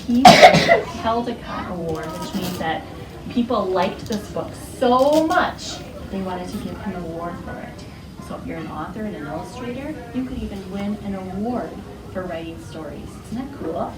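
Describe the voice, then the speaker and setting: A woman's voice: mid-range voice, lively intonation, moderate pace. Woman teaching children in a noisy, roomy classroom. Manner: instructive, questioning, repeats children's answers back. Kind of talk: interactive read-aloud lesson to young children. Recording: roomy, distant mic, loud classroom noise.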